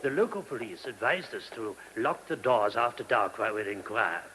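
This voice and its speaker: An actor in a radio play voicing a gentle, earnest monk who explains things courteously and a little hesitantly. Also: male voice, fairly low pitch, expressive, normal speed, poor audio. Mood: earnest, explanatory. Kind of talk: radio drama acting. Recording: hissy old radio recording.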